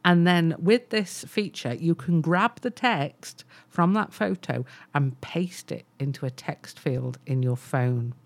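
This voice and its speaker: A woman's voice: sits low, takes it slowly, hardly any pauses. A woman co-hosting a business podcast, explaining tech features enthusiastically and thinking aloud with restarts. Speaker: co-host. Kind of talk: casual business podcast chat.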